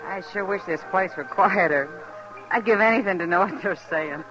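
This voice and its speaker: A woman playing a worldly, teasing saloon woman in a 1950s radio western, speaking in an unhurried, knowing way. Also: medium pitch, a bit bright, highs cut off, close to an everyday voice. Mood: teasing, curious. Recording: old radio recording with music.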